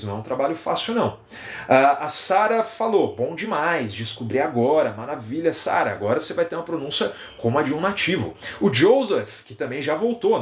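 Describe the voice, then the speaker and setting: A young Brazilian man with a Brazilian Portuguese accent, an English teacher giving a lively live-stream lesson and chatting with viewers. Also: a little high, reverberant. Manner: lively, animated, reads out viewer comments.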